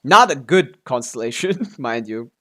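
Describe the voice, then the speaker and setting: A man's voice: medium pitch, big pitch swings, unhurried, pushed and bright. A man live streaming a video game, chatting casually in a laid-back way with some offhand swearing as he comments on play.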